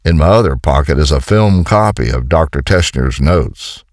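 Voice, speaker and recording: An actor playing a gruff, sardonic American colonel, a hardened wisecracking commando, in an audio comic. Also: male voice, rumbling low, expressive, normal speed, a little mellow, dry recording, clean studio sound. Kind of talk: dramatic audio comic dialogue.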